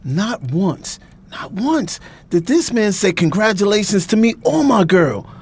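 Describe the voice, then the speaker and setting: High-pitched, wounded, defiant grown son acted by a man. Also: very animated, measured pace, a little mellow, breathy edge, heavily acted. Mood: indignant, angry. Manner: sarcastic, dramatic, slangy. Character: angry, wounded grown son defying his powerful family. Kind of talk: audio drama acting.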